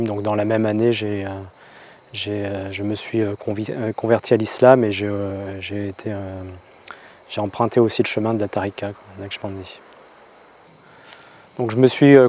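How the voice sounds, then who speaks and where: A man from France recounts his own story in a lowish, mellow voice. He talks in a flat, even tone and keeps stopping to restart his sentences. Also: a little hiss or hum. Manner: halting, with many hesitations and restarts. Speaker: convert telling his story. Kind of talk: personal testimony.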